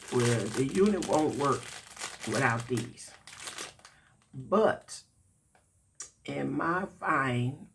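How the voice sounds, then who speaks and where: Slow, congested older man. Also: mid-range voice, noisy background. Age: 60s. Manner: chatty, folksy, addresses viewers directly. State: congested, raspy. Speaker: vlogger reviewing a product. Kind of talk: casual chatty review.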